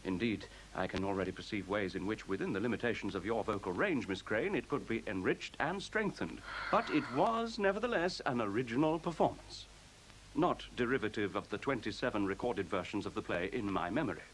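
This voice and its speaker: An actor playing a self-assured, courteous computer in a radio play, speaking in articulate, formal, precisely lecturing phrases. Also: male voice, lowish voice, animated, fairly quick, fairly bright tone, rough recording. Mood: coolly confident. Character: self-assured, courteous sentient computer, male-voiced, coolly superior. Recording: faint tape hiss.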